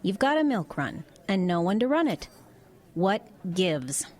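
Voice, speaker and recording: A woman's voice: medium pitch, very animated, measured pace, darkish tone. An actress playing a blunt, nosy small-town woman in an audio drama, with a pressing, plain-spoken manner. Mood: skeptical, pressing. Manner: pressing, plain-spoken, exasperated folksy banter.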